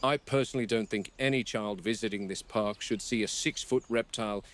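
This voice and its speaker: A man playing a serious game warden at a dinosaur park, recording a formal, measured, explanatory log. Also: on the low side, fairly bright tone, close to an everyday voice. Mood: matter-of-fact. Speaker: animal handler. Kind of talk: scripted video log narration.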